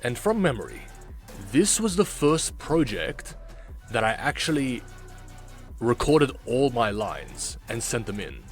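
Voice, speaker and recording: Slow-talking man with lively, swooping pitch. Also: soft background music. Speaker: video host, amateur voice actor. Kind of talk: casual reaction commentary.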